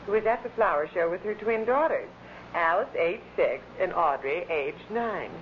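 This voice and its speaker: A man's voice: high-pitched, expressive, normal speed. A man reading a scripted report as a radio announcer. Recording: dull, faintly noisy vintage radio recording.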